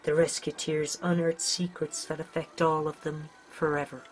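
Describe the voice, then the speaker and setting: A woman's voice: low-pitched, little pitch movement, normal speed, poor audio. A woman with an Irish accent narrating a scripted children's storybook. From Ireland. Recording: music under the voice.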